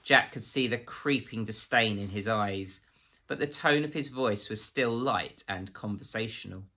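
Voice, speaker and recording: A male story reader with a fairly low voice and a bright, forceful edge. He narrates a children's novel expressively, in scripted storytelling style. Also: a little slow.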